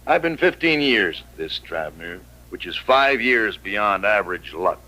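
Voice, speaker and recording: An actor playing a calm, fearless hired gunman in an old American radio western, terse and deliberate with a cool menace. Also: lowish voice, heavily acted, poor audio. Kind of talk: 1950s radio western acting. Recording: old radio recording with music.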